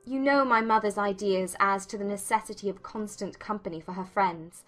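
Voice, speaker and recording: A woman playing a witty, spirited young gentlewoman in an audiobook drama, expressive and articulate, teasing yet sincere. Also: mid-range voice, normal speed, faint music behind, close to an everyday voice. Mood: conceding, sincere.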